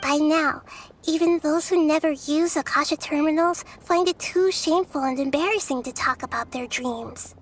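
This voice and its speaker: A woman voicing a small, gentle, wise young girl deity in a video game, speaking in measured, thoughtful, formal phrases. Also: high-pitched, a little hiss or hum, natural voice. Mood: thoughtful, serious. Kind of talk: scripted video game character dialogue.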